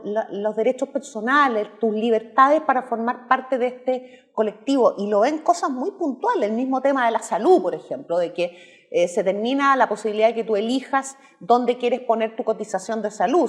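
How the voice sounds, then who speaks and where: Chilean woman in her fifties, higher voice. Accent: Chilean Spanish. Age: 50s. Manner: measured, explanatory, tagging points with "¿verdad?". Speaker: guest. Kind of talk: political discussion.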